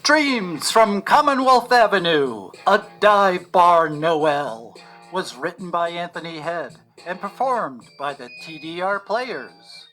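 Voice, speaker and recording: A man's voice: sits high, big pitch swings, pushed and bright, faint music behind. Man narrating a radio drama. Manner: measured storytelling in present tense, acting out brief quotes. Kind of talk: scripted story narration.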